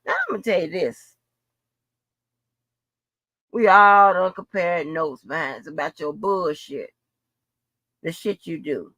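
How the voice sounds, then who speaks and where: A woman's voice: on the low side, slow, pauses often, pushed and bright, no echo. A woman in an unscripted livestream rant, blunt, profane and confrontational, repeating phrases for emphasis. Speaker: livestream host.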